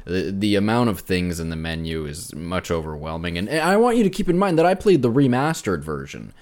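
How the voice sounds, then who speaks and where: Male podcast co-host, low register with very lively intonation, casual and a little halting in delivery. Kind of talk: casual unscripted video game discussion.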